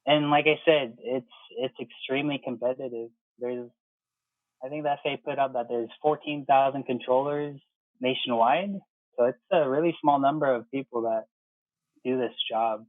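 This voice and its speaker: An American man in his thirties talking casually as an interview guest, explaining things in a halting way and often rephrasing. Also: mid-range voice, steady tone, no echo, phone-quality sound. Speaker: interview guest, air traffic controller.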